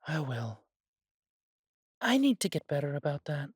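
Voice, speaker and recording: A man playing a humble, self-doubting immortal elf who runs a coffee shop in a fantasy audio drama, talking in a gentle, chatty way. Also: a little high, very animated, unhurried, husky, no echo, clean studio sound, exaggerated voice. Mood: downcast, self-doubting.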